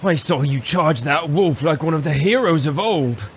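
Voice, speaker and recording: Higher-voiced encouraging soldier. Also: male voice, animated, normal speed, slight background noise. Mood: admiring. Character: admiring, encouraging soldier comrade. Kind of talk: fantasy audio drama acting.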